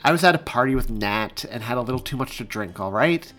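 Man acting cheeky teen girl next door, pleading, storybook dialogue, mid male pitch. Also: animated, quick pace, a bit bright, close to an everyday voice. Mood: sighing, defensive. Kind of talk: audiobook character dialogue.

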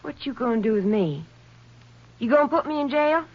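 A woman's voice: high-pitched, expressive, brisk. An actress playing a feisty, sullen country girl outlaw in a 1950s radio western, with a rural Texas accent and whiny, defiant, ungrammatical talk. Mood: sullen, matter-of-fact. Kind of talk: 1950s radio drama acting. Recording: muffled vintage radio recording.

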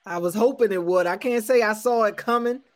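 A man who hosts sports radio and covers a team as a reporter, breaking down a game in casual, measured analysis and building his points with restarts. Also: high voice, no echo.

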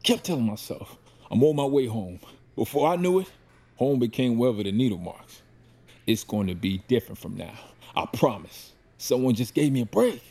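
An actor playing a remorseful recovering addict father in an audio drama, earnest and excitable, with a husky voice. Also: mid-range voice, normal speed. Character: remorseful recovering addict father, hopeful, playful, working-class.

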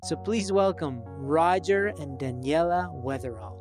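An enthusiastic podcast host introducing an episode and its guests, with a mid-range voice and an admiring tone. Music plays clearly underneath the voice. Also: mid-range voice, animated, unhurried.

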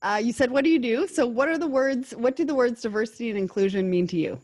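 A woman hosting a podcast panel, reading sponsor messages and then moderating in a clear, upbeat way. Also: fairly high pitch, fast talker, darkish tone, no room sound. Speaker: podcast host.

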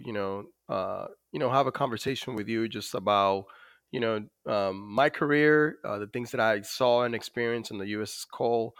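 Dominican-accented man, smooth and dry. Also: fairly low pitch, fairly bright tone, no echo. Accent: Dominican Spanish. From Dominican Republic. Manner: halting, many fillers. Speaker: guest, Navy master chief. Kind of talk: interview storytelling.

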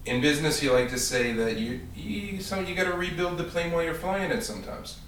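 A man's voice: a little high, expressive, fairly quick, a bit bright. A man co-hosting a casual podcast, joking and teasing his guests. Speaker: podcast co-host. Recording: echoey room, distant mic, faint noise.

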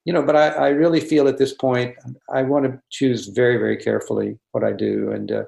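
A man, a guest director on a video call, talking casually, halting and repeating words with many fillers. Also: medium pitch, little pitch movement, vocal fry, a little mellow, roomy sound.

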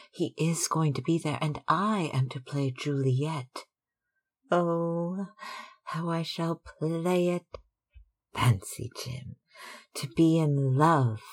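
A lovestruck young stage actress bubbles over with excitement, her voice lifted high and slightly breathy, as a man reads her lines. She gushes and exclaims at an unhurried pace. It is a storybook reading. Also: exaggerated voice. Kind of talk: audiobook dialogue reading.

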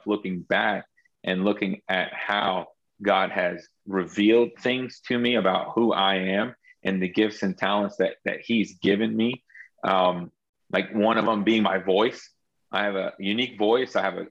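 Man, low voice, earnest, reflective, interview guest, muffled lo-fi audio. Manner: earnest, reflective, with frequent restarts. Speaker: guest entrepreneur. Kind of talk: faith testimony interview.

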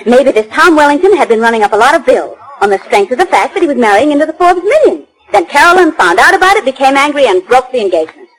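A woman's voice: high-pitched, sing-song, fast, hardly any pauses, low-quality recording. A woman with an American accent playing a playful, eager detective's sidekick in a 1940s radio drama. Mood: questioning, eager. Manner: chatty, teasing, eager.

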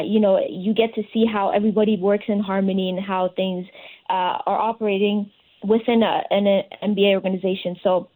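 A woman's voice: mid-range voice, darkish tone, poor audio. A woman speaking as an interview guest, giving earnest, enthusiastic answers in a conversational style. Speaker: interview guest, recent fellowship recipient.

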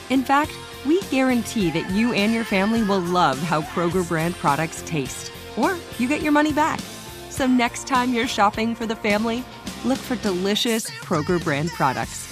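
A woman voices a commercial in a cheerful, friendly manner at a fairly high pitch. Clear music runs under her voice. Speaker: commercial announcer. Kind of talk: scripted advertisement. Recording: voice-over with music bed.

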